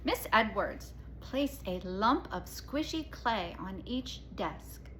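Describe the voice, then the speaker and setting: Female storybook reader, bright and expressive, slow delivery, roomy sound with faint noise. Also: medium pitch. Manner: expressive, pausing to explain pictures. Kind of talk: children's picture book read-aloud. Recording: echoey room, faint background noise.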